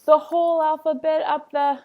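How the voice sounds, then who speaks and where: A woman's voice: sits high, level tone, moderate pace, phone-quality sound. A woman reading a picture book aloud like a teacher, with a sing-songy, playful, dramatic delivery and chatty asides.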